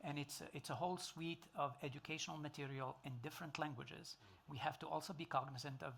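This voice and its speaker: Man, middle-aged, Tunisian accent, Arabic native, raspy fry, higher pitch, halting speech. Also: fairly even intonation, a bit bright. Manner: halting, many fillers and restarts. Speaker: panelist, computer engineer. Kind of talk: panel discussion.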